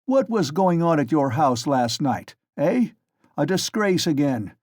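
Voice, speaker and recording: A man voicing an enraged, pompous police official who shouts and blusters. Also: on the high side, big pitch swings, normal speed, no echo, clean studio sound. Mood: furious.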